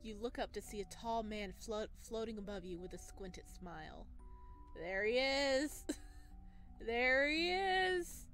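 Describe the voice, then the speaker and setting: A woman reading a visual novel aloud as a let's player, expressive, with casual chatty reactions. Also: on the high side, slow pace, faint music behind. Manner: expressive, exclaims and chats between read passages. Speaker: video game let's player.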